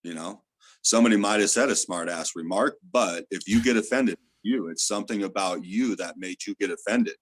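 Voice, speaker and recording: Guest: man, very low voice, loud and earnest, dry close sound, explains with many fillers. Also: a little fast, no room sound. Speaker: theta healer guest. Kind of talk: casual spiritual interview talk.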